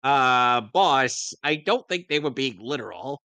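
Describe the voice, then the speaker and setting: A man's voice: medium pitch, expressive, unhurried, bright, forceful tone, clear, resonant tone, dry recording. A man co-hosting a show, giving casual, joking commentary on a cartoon.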